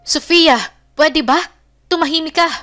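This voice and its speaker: A woman's voice: high voice, steady tone, measured pace, sharp tone, faint music behind, pitched above the performer's own voice. A woman narrator playing a cheating young boyfriend, urgent and pleading, in a melodramatic romance story. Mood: exasperated.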